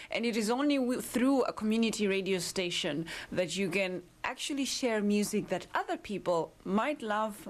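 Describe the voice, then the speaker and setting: Chatty woman radio host. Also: mid-range voice. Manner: relaxed, chatty, with frequent fillers. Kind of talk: casual radio presenting.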